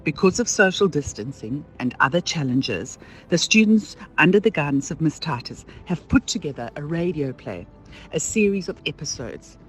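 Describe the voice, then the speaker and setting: A man's voice: on the high side, animated, normal speed, rough recording. A man reading a scripted introduction as an announcer.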